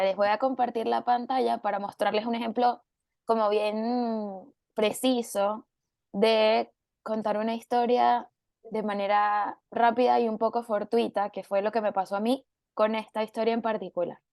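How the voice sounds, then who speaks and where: A hoarse woman with a Venezuelan accent, a photojournalist giving a relaxed, unhurried talk over a video call, with many filler pauses. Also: a little high, dry recording. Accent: Venezuelan Spanish. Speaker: photojournalist guest speaker. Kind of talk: relaxed talk on an online panel.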